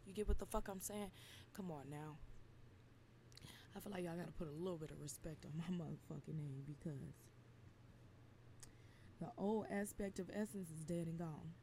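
Brief: twenties woman, dark mellow tone, slight rasp, blunt and emphatic, low faint hiss behind. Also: normal speed, long pauses. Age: 20s. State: slightly raspy from lack of sleep. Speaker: podcast host. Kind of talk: casual venting monologue.

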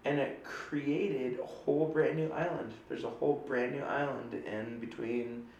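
A woman's voice: extremely low pitch, steady tone, measured pace, gravelly, a little mellow, echoey room, slight background noise, rough recording. A woman co-hosting a casual chat show, talking in a chatty, rambling and playful way, with a stuffy nose from a cold. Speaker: podcast co-host.

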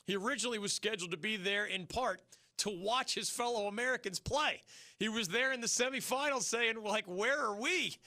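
Man, high bright voice, sports talk host, emphatic, dry studio. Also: no room sound. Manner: fast, emphatic, opinionated, rising to rhetorical peaks. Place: radio studio. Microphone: studio mic.

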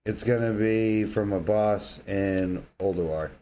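A man's voice: on the low side, takes it slowly, mellow. A man co-hosting a podcast with casual, emphatic banter, often repeating himself for emphasis. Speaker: podcast co-host.

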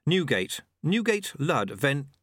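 Man acting a young male novice, raised pitch, earnest, hesitant, deferential, bright voice, storybook character. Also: sing-song, slow, dry recording, clean studio sound, full character voice. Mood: dejected, resigned.

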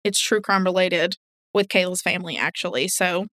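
A woman's voice: fairly low pitch, steady tone, normal speed, fairly bright tone, no room sound, clean studio sound. A woman co-hosting a podcast in an informal, candid, chatty way. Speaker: podcast co-host. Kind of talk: casual true crime podcast chat.

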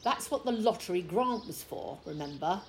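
A woman's voice: on the low side, lively intonation, moderate pace, a little hiss or hum. A woman acting in an audio play as a prim, easily offended older English village wife, scolding with precise, haughty British diction.

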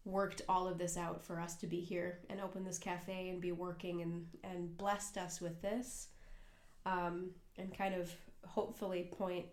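A woman's voice: lowish voice, flat delivery, unhurried, darkish tone. A young American woman co-hosting a casual podcast, talking earnestly and at length with plenty of fillers and restarts. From United States. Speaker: podcast co-host and cafe worker. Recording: echoey room.

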